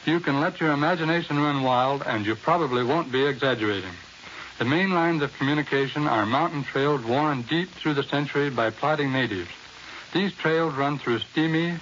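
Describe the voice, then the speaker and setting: Formal American war correspondent, old hissy radio. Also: male voice, mid-range voice, a bit bright, highs cut off. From United States. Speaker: war correspondent, interview guest. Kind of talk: scripted 1940s radio news report. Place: New York radio studio. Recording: hissy, band-limited 1940s radio recording.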